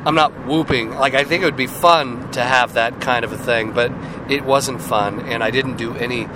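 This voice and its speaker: A man hosting a podcast in a casual, rambling monologue, joking and self-deprecating, his voice raspy and hoarse as he gets over a cold. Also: mid-range voice, steady tone, normal speed, heavy background noise. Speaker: podcast host.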